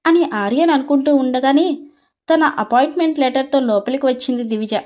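A woman's voice: sits high, a little fast, a little mellow. A woman storyteller reading scripted narration for a story.

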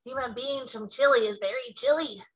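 A woman's voice: on the high side, moderate pace, lightly acted, poor audio. A woman voicing a greedy, brutish male man-eating giant with a menacing, contemptuous manner in a storybook read-aloud. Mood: gloating.

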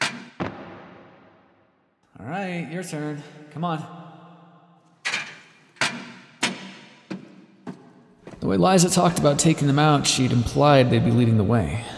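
Male actor as weary, brusque scientist captor for audio roleplay; gravelly, dry delivery with a swinging pitch. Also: a little mellow, slight background noise. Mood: terse, watchful. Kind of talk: ASMR roleplay monologue, intimate acting. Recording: long reverberant echo.

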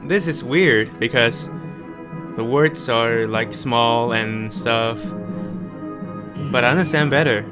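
Man commentating a handheld game at home, slow and halting, mid-pitched, game music playing behind. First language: not Japanese. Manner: hesitant, many pauses and fillers. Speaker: gameplay commentator. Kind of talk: casual game playthrough commentary.

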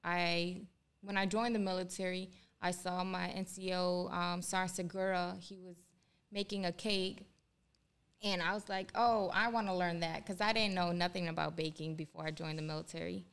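Soft-spoken woman with many pauses. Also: fairly low pitch, level tone, smooth. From Ohio, USA. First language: Spanish. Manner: hesitant, many "um"s. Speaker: guest, army culinary instructor. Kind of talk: casual interview. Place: small studio room. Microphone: desk mic on boom, headphones.

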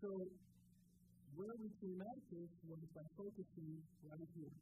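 A man's voice: fairly high pitch, noisy background. A middle-aged man giving a technical conference lecture, explaining step by step as a presenting scientist at a podium. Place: indoor lecture room at a podium.

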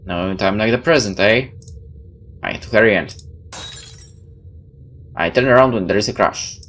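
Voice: medium pitch, normal speed, fairly bright tone. A gameplay host reading visual novel text aloud expressively and adding casual comments. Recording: clear background noise.

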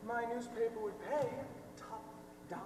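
A young man playing a pushy, flattering newspaper reporter, emphatic and ingratiating, in a high school stage comedy. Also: moderate pace. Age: young adult. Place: theatre stage, recorded from the audience. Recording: distant echoey hall, faint noise.

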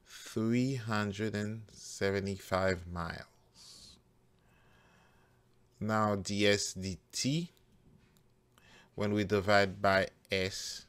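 A man working through a math problem step by step as a teacher, deliberate and halting phrase by phrase. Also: on the low side, fairly bright tone, clear, resonant tone. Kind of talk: step-by-step worked-problem tutorial.

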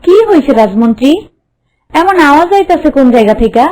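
A woman's voice: high-pitched, animated, brisk, darkish tone. A woman voicing a kind, humble housemaid made queen in a cartoon, plain-spoken with a rural Bangladeshi accent. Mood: caring, decisive. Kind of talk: cartoon voice acting.

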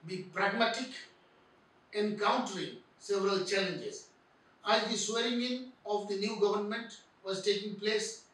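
A middle-aged man giving measured political commentary to camera, speaking deliberately with pauses, seated indoors by a window. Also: high voice, fairly bright tone, recorded from a distance. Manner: deliberate, slow phrasing with pauses and fillers. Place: indoor room by a frosted window. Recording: very echoey room, mic set back.

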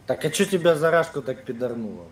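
A man's voice: fairly high pitch, big pitch swings, fast. A young man on a video call, asking blunt, questioning things in casual chat. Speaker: chat partner. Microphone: webcam on video call. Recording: echoey room.

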